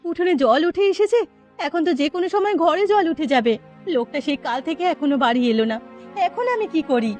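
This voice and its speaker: Lady playing village mom, caring but fretting, high voice, cartoon story w music. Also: expressive, brisk, darkish tone. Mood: fretful. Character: caring, worried village mother and housewife. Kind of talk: Bangla fairy tale cartoon voice acting.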